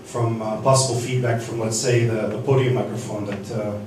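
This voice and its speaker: A man teaching a seminar on church sound desks, speaking haltingly with many restarts and fillers. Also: on the low side, level tone, background noise. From United States. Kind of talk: teaching sound-desk basics. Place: church hall. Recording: echoey hall from far off.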